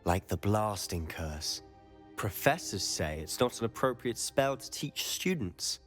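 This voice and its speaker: This is a teenage boy wizard in a video game, confident and a little mischievous. He talks in a measured, confiding way, as if guarding secrets. Soft music sits behind the voice. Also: lowish voice, lively intonation. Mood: eager, conspiratorial. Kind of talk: video game dialogue acting.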